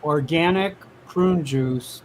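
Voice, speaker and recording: A man's voice: fairly high pitch, slow, slight background noise, low-quality recording. A man co-hosting a casual podcast, laid-back and laughing along. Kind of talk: casual chat on a livestream panel.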